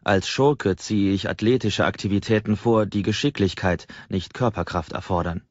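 A man's voice: lowish voice, normal speed, no room sound. A man reading a novel as a scripted first-person audiobook narration. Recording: dry, slightly dull audiobook recording.

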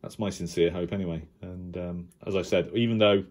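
A man's voice: sits low, steady tone, a little mellow. A British man giving informal, unscripted commentary as a radio hobbyist, hesitant and rambling, often correcting himself. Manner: hesitant, halting, rambling delivery with self-corrections. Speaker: shortwave radio hobbyist presenter.

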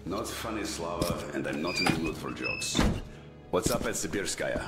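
A man voicing a weary, hard-bitten general in a video game, gruff and authoritative. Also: low voice, lively intonation, moderate pace, big-room sound, loud music behind the voice. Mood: grim, weary. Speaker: general in a military command. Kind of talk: dramatic video game dialogue.

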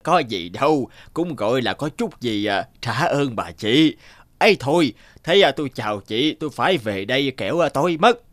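An actor voicing a vain, spiteful middle-aged feng shui master in a cartoon folk tale, theatrical and grumbling. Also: male voice, medium pitch, very animated, normal speed, fairly bright tone, no room sound. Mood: falsely polite. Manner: theatrical, muttering complaints, falsely polite. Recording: music behind the voice.

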